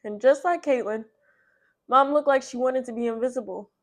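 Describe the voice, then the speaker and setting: A woman hosting a children's read-aloud, speaking in an expressive, emphatic storyteller's manner. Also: sits high, moderate pace.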